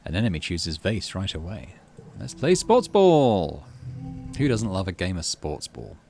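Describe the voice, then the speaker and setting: A man's voice: low-pitched, huge pitch swings, measured pace, vocal fry, darkish tone, faint music behind. A man casually commentating on a game, thinking aloud in murmured asides and reading story text out. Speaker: gaming channel host.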